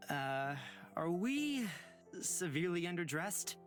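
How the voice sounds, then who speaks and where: An actor voicing a gentle, hesitant young traveler in a visual novel game, speaking tentatively. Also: mid-range voice, sing-song. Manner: halting, tentative. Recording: music under the voice.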